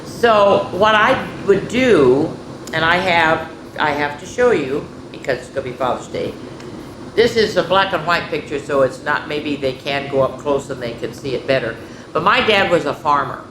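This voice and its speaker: Echoey, expressive older woman telling stories. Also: sits low, moderate pace. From rural United States, farm. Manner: expressive, folksy, addressing children directly. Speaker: children's storyteller and host. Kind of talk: read-aloud storytime with chatty asides. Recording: echoey room with background noise.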